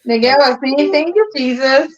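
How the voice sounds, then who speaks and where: Liberian woman host, fairly high voice, easygoing storyteller who laughs a lot, muffled stream audio. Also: moderate pace, a crowd in the background. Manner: laughs often, relaxed storytelling with colloquial slang. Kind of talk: casual chat livestream.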